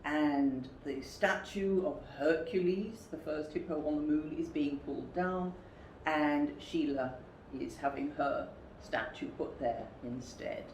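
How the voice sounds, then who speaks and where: A woman reading a picture book to children as a storyteller, with deliberate, dramatic emphasis. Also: low-pitched, darkish tone. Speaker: storyteller, teacher. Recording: roomy echo, faint background noise.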